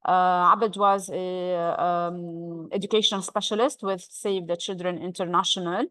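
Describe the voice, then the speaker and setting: A woman who manages a course, introducing a testimonial in an online information session and pausing often on fillers, speaking over a video call. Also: on the low side, steady tone, a bit bright, no echo. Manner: hesitant, with many "um" and "uh" fillers.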